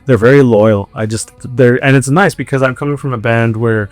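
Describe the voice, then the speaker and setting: A man's voice: medium pitch, a little fast, no room sound, soft background music. A man, an indie band musician, chatting casually and jokingly in an interview. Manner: joking, banter with bandmate.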